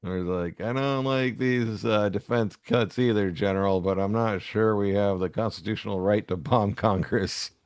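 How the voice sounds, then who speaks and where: A man with a fairly low voice, recorded dry and close. He is an easygoing podcast co-host who talks casually and reads lines aloud without fuss. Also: fairly even intonation, moderate pace, hardly any pauses, smooth, no echo. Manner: easygoing, chuckles often. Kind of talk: casual chat with reading aloud.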